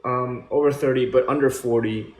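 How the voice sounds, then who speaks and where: A man in his thirties hosting a casual chat, relaxed and reflective, asking friendly questions. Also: medium pitch, normal speed. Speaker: host. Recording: echoey room.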